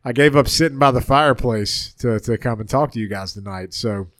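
A man's voice: medium pitch, a little fast, a bit bright. A man with a head cold hosts a laid-back sports chat, his voice stuffed up and a little nasal. He rambles and restarts often. The sound is close and dry, with no room echo. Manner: rambling, many restarts and fillers. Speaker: podcast host. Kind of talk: casual sports podcast chat. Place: indoor room at home.

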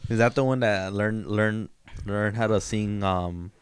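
Young dude, lowish smooth voice, laid back, keeps restarting what he says. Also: steady tone, no room sound, clean studio sound. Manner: easygoing and agreeable, quick to laugh, halting restarts. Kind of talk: casual chat.

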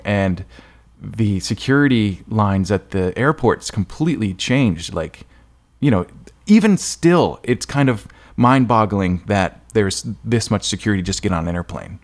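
Male podcast host, lowish pitch, informal, conversational, slightly hesitant, thinking aloud. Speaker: podcast host interviewing an author. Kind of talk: casual interview chat.

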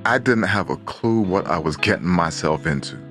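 Man playing a deep-voiced, laid-back husband. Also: lively intonation, normal speed, over loud music. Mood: reflective. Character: laid-back, blunt husband narrating his bewilderment. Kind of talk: casual dialogue and reflective narration.

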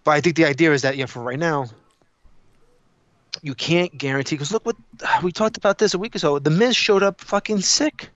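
A man's voice: a little high, brisk, fairly bright tone, muffled recording. A man co-hosting a podcast, chatting casually about his opinions in a blunt, emphatic, profane way. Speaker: podcast co-host.

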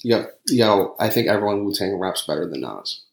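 A man's voice: deep voice, expressive, normal speed, creaky, reverberant. A man with a New York accent hosting a casual podcast, brash and profane in rambling banter. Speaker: podcast host.

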